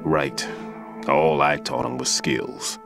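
Very deep, solemn older man, over music. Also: fairly even intonation, creaky, exaggerated voice. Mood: regretful, brooding. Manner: measured, brooding, solemn. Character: grave, weary older bomb-disposal expert, mentor, regretful. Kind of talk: dramatic video game acting. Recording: background music under the voice.

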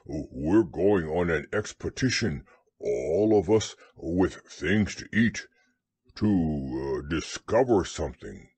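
A man voicing a slow-witted, gentle, happy toy bear in a storybook, naive and hesitant. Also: low voice, expressive, slightly rough, no room sound, pitched below the performer's own voice, full character voice. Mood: happily excited.

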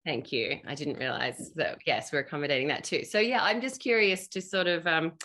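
Woman director chatting on a video call, crisp bright tone, a bit low. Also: fairly quick. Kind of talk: casual talkback discussion. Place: home room on a video call. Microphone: video call microphone.

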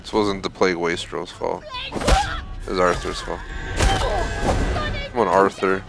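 A man's voice: sits low, a little slow, creaky, loud music behind the voice. A man giving casual, off-the-cuff commentary while playing through a video game. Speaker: gaming video host.

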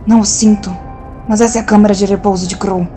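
A weakened telepath, worried and pressed for time, played by a woman. Her voice sits at a middle pitch. Music plays behind the audio drama. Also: steady tone, fast talker, dark tone, natural voice.

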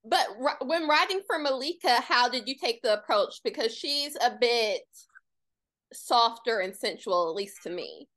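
A woman's voice: fairly high pitch, lively intonation, a little slow, a bit bright. A woman in her twenties from Detroit, asking casual interview questions over a video call in a friendly, curious way.

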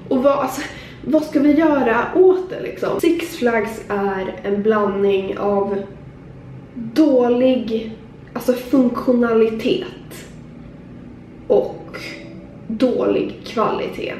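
A woman's voice: a little high, long pauses, dark tone, roomy sound, a little hiss or hum, poor audio. Exasperated young woman vlogging to camera. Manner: exasperated, complaining, many fillers. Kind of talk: casual travel vlog, then sit-down rant to camera. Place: outdoor theme park and parking lot, then a tiled bathroom. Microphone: handheld camera at arm's length.

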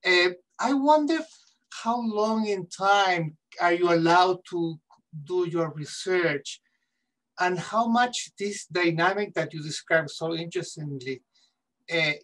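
A man's voice: a little high, a bit bright, distant mic, poor audio. A middle-aged man from Chile speaks English with a Chilean Spanish accent, stopping and repeating small words as he feels his way through a long question. He talks into a laptop on a video call from a home study, his voice sitting a little back from the microphone. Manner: halting, repeats words while searching for them. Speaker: audience questioner. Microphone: laptop webcam mic on video call.

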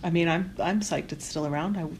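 A man's voice: a little high, a little fast, crowd noise. A man hosting an interview, chatting casually with short, supportive replies. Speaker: interviewer, host.

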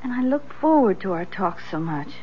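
An actress playing a gentle, weary invalid wife who depends on her husband, in a 1970s radio drama. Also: mid-range voice, sing-song, normal speed, close to an everyday voice. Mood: tender. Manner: tender, weary. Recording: noisy, muffled 1970s radio recording.

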